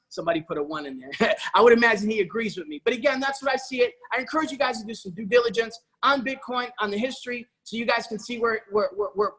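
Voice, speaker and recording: A man's voice: high-pitched, sharp tone, low-quality recording. A man giving an enthusiastic sales presentation, eagerly addressing his listeners as folks and often restarting with repeated words. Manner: frequent repeated-word restarts. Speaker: presenter pitching an investment opportunity.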